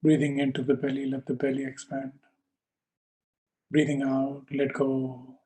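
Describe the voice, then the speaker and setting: A male yoga teacher leads a guided meditation in a medium-pitched, level voice. He speaks calmly in short, measured phrases and leaves space between instructions. The delivery stays even, with little melodic movement. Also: low-quality recording.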